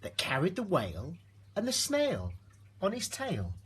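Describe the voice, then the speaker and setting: قارئ قصص أطفال بنبرة شديدة التلوين وصوت مبحوح. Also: male voice, sits high, huge pitch swings, unhurried, fairly bright tone, breathy edge. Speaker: storybook reader. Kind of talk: expressive rhyming children's story narration.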